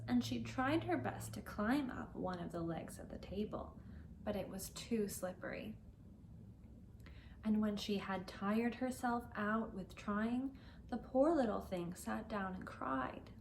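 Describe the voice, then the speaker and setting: Female English teacher and read-aloud host, expressive and careful in diction, faint noise behind. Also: on the high side, rough recording. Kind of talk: storybook read-aloud for language learners.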